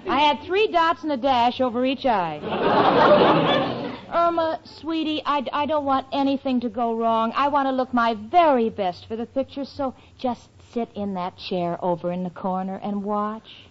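A woman's voice: on the high side, moderate pace, lightly acted. A woman playing a sensible, exasperated young roommate with dry, sarcastic timing in a noisy, muffled 1940s radio sitcom. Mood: exasperated, anxious. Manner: dry, sarcastic, sharply articulated comic delivery. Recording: muffled, noisy old-time radio broadcast.

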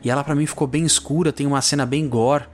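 A man's voice: on the high side, steady tone, fast, faint music behind. A man with a Brazilian accent hosting a film podcast, chatting casually and descriptively in colloquial, conversational speech. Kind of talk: casual film review discussion.

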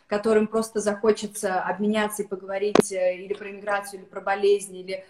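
Guest: Russian-native woman, halting and filler-heavy, roomy echoing call audio. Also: mid-range voice, flat delivery, very noisy. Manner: halting, frequent filler sounds. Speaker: guest, therapist-coach. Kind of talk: casual interview over video call. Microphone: video call.

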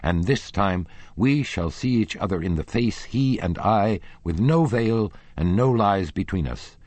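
A man's voice: sits low, big pitch swings, moderate pace, darkish tone, slightly rough, no echo, heavily acted. A man reading the part of a jealous young nobleman, voice rising and accusing in heated outbursts. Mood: furious. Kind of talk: audiobook character reading.

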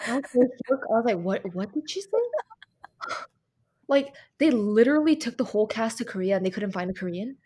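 A young woman from the United States co-hosting a podcast, chatting casually in an animated, exclamatory way with laughs. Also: fairly high pitch, fairly quick, breathy edge. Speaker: podcast co-host. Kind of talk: casual chat.

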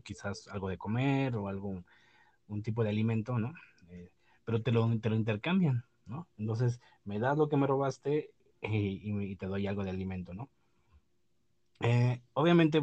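A man's voice: on the low side, darkish tone, no room sound. A man hosting a podcast, chatting casually about the news with many fillers and restarts. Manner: hesitant, many fillers and restarts, laughs at own remarks. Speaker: podcast host.

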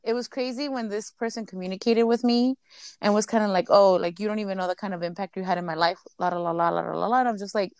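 A woman's voice: medium pitch, steady tone, fast, a little mellow, clear, resonant tone, dry recording. A woman co-hosting a podcast in casual chat, whose first language is Spanish, rambling nervously and stuttering now and then. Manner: rambling, nervous, laughs often. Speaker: podcast co-host.

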